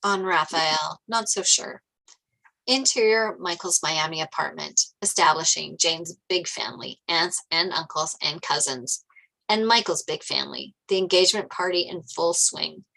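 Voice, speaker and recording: Low, bright woman narrator with creak. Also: moderate pace, low-quality recording. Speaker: narrator reading stage directions. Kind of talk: scripted screenplay narration at a table read.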